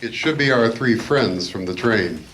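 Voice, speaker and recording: A man playing a shrewd, commanding adventure hero, speaking in a measured, formal way in a live radio play. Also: mid-range voice, animated, a bit bright, slight background noise, low-quality recording. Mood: composed.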